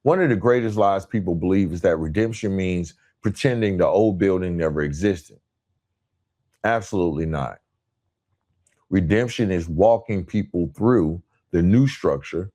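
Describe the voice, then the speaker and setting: A man hosting a motivational podcast, speaking in a deliberate, emphatic way and repeating phrases to drive them home. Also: low voice, warm tone. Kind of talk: motivational monologue, part scripted.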